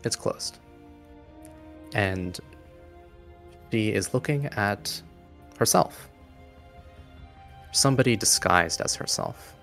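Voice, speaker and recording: Low, slow-paced man narrating dramatically. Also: lively intonation, creaky, fairly bright tone, faint music behind. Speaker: game master. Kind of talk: dramatic scripted-sounding narration of a tabletop game.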